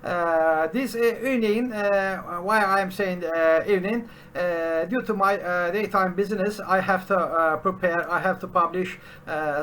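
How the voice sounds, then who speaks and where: A middle-aged man with a Turkish accent, a non-native English speaker, teaching programming from a home study, pausing often with "uh" fillers and restarts. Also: fairly high pitch, fairly bright tone, slight background noise. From Turkey. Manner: halting, constant "uh" fillers and restarts. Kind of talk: unscripted screen-share tutorial.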